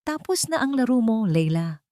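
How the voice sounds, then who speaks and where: A woman's voice: fairly high pitch, moderate pace, dry recording, studio quality, pitched above the performer's own voice. A woman with a Filipino accent voicing a firm, earnest, devoted man in an audiobook. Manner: steady, earnest. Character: firm, devoted man, repentant loving father.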